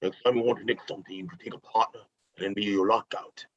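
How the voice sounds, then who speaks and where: A man's voice: fairly low pitch, fairly even intonation, fast talker, lo-fi sound, natural voice. A man acting a bitter, foul-mouthed jailed hitman and reluctant father in an amateur script read, combative and blunt. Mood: gruff, dismissive.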